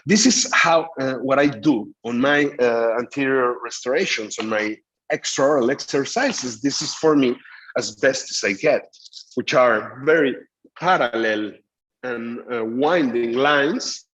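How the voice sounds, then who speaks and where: Halting middle-aged man teaching a class. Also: mid-range voice, highs cut off. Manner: explanatory, halting with frequent fillers. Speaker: dental lecturer. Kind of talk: recorded master class lecture.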